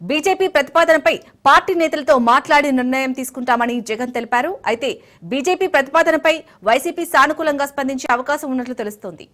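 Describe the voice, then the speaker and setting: A woman's voice: high voice, a little fast, fairly bright tone. A woman news anchor south-east India, reading scripted breaking news.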